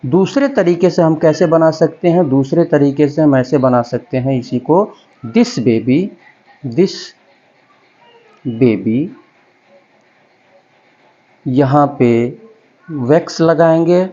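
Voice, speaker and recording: A man teaching English grammar in Hindi, repeating each word slowly as he writes it out. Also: a little high, dark tone. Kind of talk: Hindi-medium grammar lesson, slow dictation. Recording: dull lo-fi recording.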